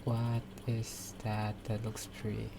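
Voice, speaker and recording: A woman's voice: extremely low pitch, completely flat delivery, slow pace, creaky, background noise. A woman gaming streamer giving casual, hesitant commentary, musing aloud as she plays through a story quest. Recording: game music behind the voice.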